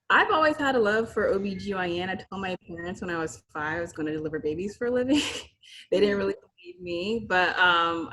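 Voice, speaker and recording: A woman fertility fellow introducing herself warmly on a webinar, eager and chatty with fillers. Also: fairly low pitch, a little hiss or hum, low-quality recording.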